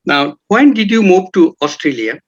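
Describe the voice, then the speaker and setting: Male interviewer with a formal manner and a higher voice; dull, lo-fi recording. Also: very animated, moderate pace. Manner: formal, repeats acknowledgements like "yes" and "right". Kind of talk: podcast interview questions. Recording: dull lo-fi sound.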